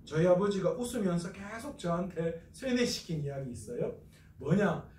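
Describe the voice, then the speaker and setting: A man's voice: high-pitched, expressive, normal speed, a little mellow, reverberant, distant mic, slight background noise. A man from North Gyeongsang, South Korea, preaching an expository Bible lecture as a pastor, asking questions and answering them himself.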